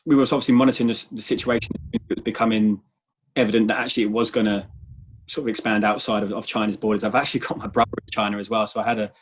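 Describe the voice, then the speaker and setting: A man speaking as a guest in a conversational business interview, measured and often repeating words as he goes. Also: fairly low pitch, flat delivery. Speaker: interview guest, sales manager.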